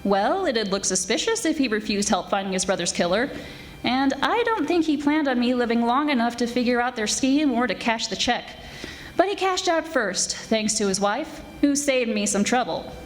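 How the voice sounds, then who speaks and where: A woman's voice: on the high side, animated, normal speed, natural voice. A woman playing a tough, wisecracking 1940s private detective in a live radio-style drama. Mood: cool, hard-boiled. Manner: wisecracking, hard-boiled storytelling. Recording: live stage performance with background noise.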